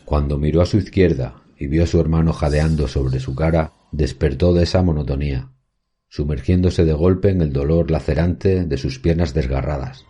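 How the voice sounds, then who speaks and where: A man playing a haunted professor, recounting a horror tale in measured, solemn, literary narration. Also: extremely low pitch, mellow. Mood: grim suspense. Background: music.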